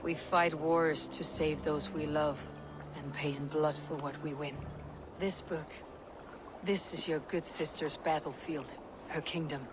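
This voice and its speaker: An actress playing a battle-hardened Viking warrior woman in a video game, speaking in terse, measured, poetic phrases. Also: sits low, fairly even intonation, background noise. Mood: earnest, persuading. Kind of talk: dramatic video game dialogue.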